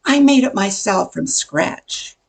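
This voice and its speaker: A woman's voice: medium pitch, expressive, takes it slowly, creaky, husky, full character voice. An older woman playing a nosy, gossipy welcome-wagon neighbor in a radio comedy, chatty and full of insinuation. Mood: chatty, judging. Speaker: amateur radio play performer. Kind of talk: old-time radio comedy acting.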